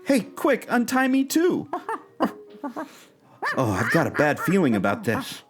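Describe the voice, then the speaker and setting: A man's voice: fairly high pitch, huge pitch swings, a little slow, background noise. A man acting a plucky, confident and eager explorer in an audio adventure play. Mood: urgent.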